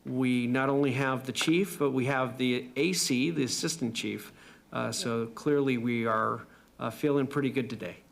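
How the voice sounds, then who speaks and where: A man who is mayor chairing a city council meeting, speaking in a halting way with many filler sounds. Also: medium pitch, steady tone, vocal fry, slight background noise.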